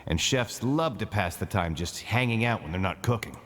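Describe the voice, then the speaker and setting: A man's voice: lowish voice, animated, normal speed, background noise, lightly acted. A man playing a friendly, easygoing inmate and card game fan in a video game, chatty and affable. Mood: chatty, amused. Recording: game music behind the voice.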